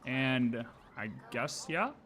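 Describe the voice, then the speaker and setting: A man's voice: mid-range voice, animated, slow, crowd noise. A man streaming a game, chatting casually and jokily in slang as he reacts and reads dialogue aloud. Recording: music behind the voice.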